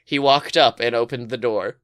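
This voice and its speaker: A man podcast host reading fan fiction aloud as expressive, colloquial story narration. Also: medium pitch, moderate pace, slight background noise.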